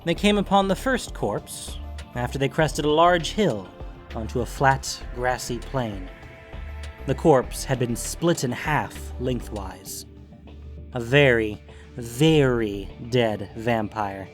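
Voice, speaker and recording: Male narrator, medium pitch, scripted dramatic storytelling, deliberate phrases, faint background music. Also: animated.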